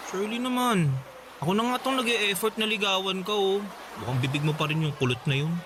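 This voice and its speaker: A man's voice: high-pitched, fairly quick, background noise, natural voice. A man playing an earnest, lovesick teenage schoolboy in a radio drama, pleading and insistent. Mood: hurt, pleading. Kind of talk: Tagalog radio drama acting.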